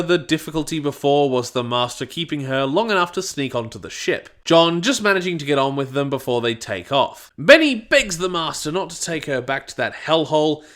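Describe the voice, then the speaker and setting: A man's voice: fairly high pitch, a bit bright. A man reading a scripted review narration in a wry, informal manner. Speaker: audio drama reviewer.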